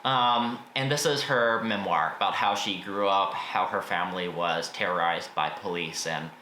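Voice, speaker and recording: A man's voice: deep voice, normal speed, sharp tone. An American man giving a casual, informal and opinionated book review as a video host. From United States. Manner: informal, opinionated, mild swearing. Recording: roomy echo, faint background noise.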